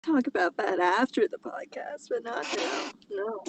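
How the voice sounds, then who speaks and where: A woman co-hosting a casual comedy podcast. Her voice is high and swoops expressively, yet she takes her time over the words. She protests with playful exasperation. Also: expressive, measured pace, gravelly, breathy edge, no echo. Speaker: podcast co-host. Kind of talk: casual banter while reading fan fiction aloud.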